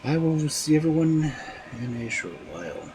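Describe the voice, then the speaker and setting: Animated male storyteller with lively pitch. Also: moderate pace, slight background noise, rough recording. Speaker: storyteller host of a live stream. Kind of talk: animated fairy tale reading with casual asides.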